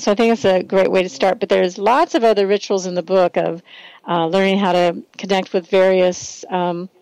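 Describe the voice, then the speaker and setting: A woman talk host with a noticeably creaky voice and mid pitch. She speaks loosely and hesitantly in a casual interview style, recorded close and dry. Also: a little mellow, no echo, rough recording. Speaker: radio show host.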